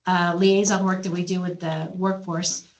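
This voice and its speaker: A woman's voice: fairly low pitch, little pitch movement, normal speed, slight background noise. A woman at a board meeting introducing a guest speaker and his work, speaking plainly with occasional fillers.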